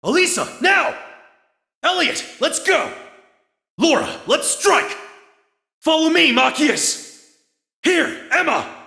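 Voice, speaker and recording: A man voicing an earnest, calm young male warrior in a video game, speaking in a measured, sincere and formal way. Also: very high voice, gravelly, full character voice. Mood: rallying, fierce. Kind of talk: video game voice acting, battle calls and dialogue.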